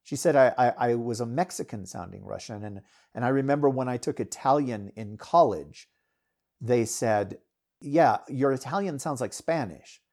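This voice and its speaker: A middle-aged American man, a podcast host and writer, talking alone in a rambling, candid way, his loudness rising and falling a lot. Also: mid-range voice, moderate pace. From United States. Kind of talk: casual solo talk.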